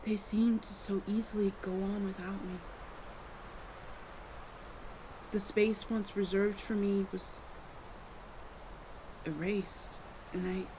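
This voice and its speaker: A woman voicing a gentle, lonely dark fae in an ASMR roleplay, speaking tenderly and hesitantly to the listener in formal storybook phrasing. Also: medium pitch, natural voice. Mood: sad, apologetic. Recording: faint background noise.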